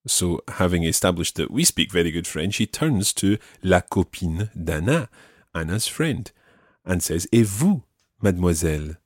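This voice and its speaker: Slow, low-voiced male language teacher. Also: lively intonation, dry recording, studio quality. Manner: patient, repeats phrases slowly. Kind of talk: explaining French phrases in English.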